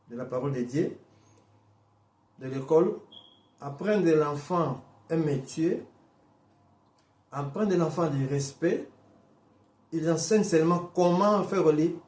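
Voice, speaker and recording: A man preaching a sermon in as a Portuguese first-language speaker, emphatic, repeating and restarting phrases, swinging between slow stressed words and quick bursts. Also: fairly high pitch, a little mellow, reverberant. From Portuguese-speaking Africa.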